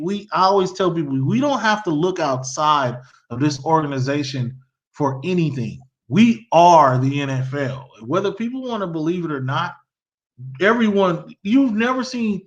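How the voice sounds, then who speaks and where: Animated high-voiced man talking football. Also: highs cut off. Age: middle-aged. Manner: animated, storytelling, quizzes his guest. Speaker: podcast host. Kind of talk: casual sports chat.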